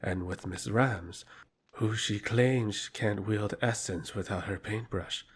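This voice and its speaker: Actor as low-voiced, commanding royal emissary man. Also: slight background noise. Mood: calculating. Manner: formal, measured, commanding. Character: scheming, authoritative male royal emissary. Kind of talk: fantasy audio drama acting.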